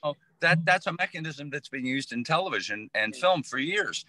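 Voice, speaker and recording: Male panel guest, bright punchy voice, dry sound, casual and wry. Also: on the high side, animated, normal speed, no room sound, rough recording.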